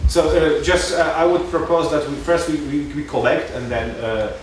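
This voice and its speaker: A man moderating an academic panel discussion, speaking in a halting way with hesitant pauses. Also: on the high side. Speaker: seminar moderator. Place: university seminar room. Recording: echoey hall, distant mic, background noise.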